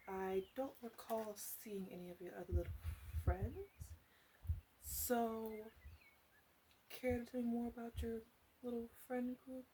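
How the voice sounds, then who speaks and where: Woman playing a slow, softly teasing witch. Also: a little high, steady tone, slight background noise, close to an everyday voice, low-quality recording. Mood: teasing curiosity. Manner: hesitant, halting, softly teasing, often dropping into whispers. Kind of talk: ASMR roleplay.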